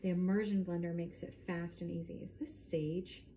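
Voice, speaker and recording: A woman's voice: lowish voice, level tone, normal speed, mellow. Chatty woman in a noisy kitchen. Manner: chatty, explanatory asides while working. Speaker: home cooking vlogger. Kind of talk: casual cooking demonstration, talking to camera. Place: home kitchen, indoors at night. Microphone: camera mic a short distance away. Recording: clearly noisy home kitchen recording.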